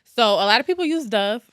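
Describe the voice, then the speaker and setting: A woman's voice: on the high side, no echo, studio quality. A woman who works as an esthetician, a guest on a casual podcast, speaking in a candid, chatty, matter-of-fact way. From Norwalk, Connecticut, USA. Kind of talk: casual interview chat.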